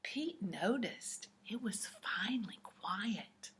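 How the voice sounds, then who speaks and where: A woman reading a picture book aloud to young children in an expressive, deliberate, explanatory way. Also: mid-range voice, slight background noise.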